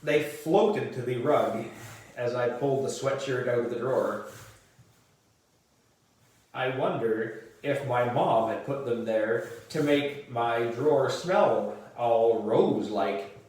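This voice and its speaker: A man reading a children's book aloud as narration, in a deliberate way with the odd stumble and reread. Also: medium pitch, darkish tone. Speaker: volunteer reader for a book club. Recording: distant mic in echoey room.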